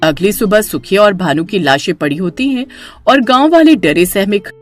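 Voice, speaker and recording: A woman's voice: medium pitch, expressive, normal speed, faint music behind. A woman narrator reading a scripted horror story.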